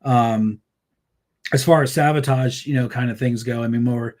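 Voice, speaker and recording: Rambling, animated man telling band stories. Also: mid-range voice, normal speed. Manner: rambling, many fillers and restarts; laughs easily, animated storytelling. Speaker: musician guest. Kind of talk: casual interview chat.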